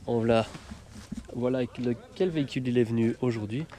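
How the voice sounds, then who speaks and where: A man's voice: medium pitch, fast, mellow. A man with a Belgian French accent, chatting enthusiastically in a vlog while taking photos outdoors in a misty forest. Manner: chatty, enthusiastic, laughs often. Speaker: photographer vlogger. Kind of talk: casual vlog chat. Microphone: action camera. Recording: noisy outdoor camera audio.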